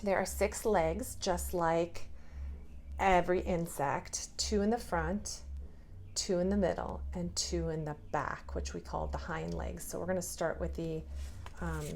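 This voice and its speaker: A woman's voice: lowish voice, fairly even intonation, measured pace, vocal fry, darkish tone, slight background noise. A woman preschool teacher giving a hands-on, instructive lesson to young children, in simple words. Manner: instructive, asks the listener simple questions. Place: indoors at a table, drawing on paper cards.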